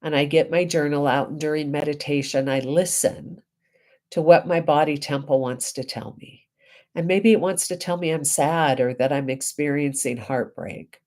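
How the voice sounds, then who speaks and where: A woman reverend getting over a head cold, giving a reflective spiritual talk with deliberate, emphatic phrasing and pauses. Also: low-pitched, a little mellow.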